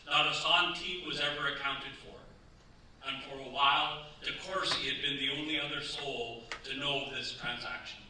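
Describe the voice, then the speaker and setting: A man's voice: medium pitch, fairly even intonation, normal speed, sharp tone. A man narrating a staged radio crime drama in a grave, scripted style. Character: grave male narrator of true crime tragedy. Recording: echoey hall, distant mic, faint noise.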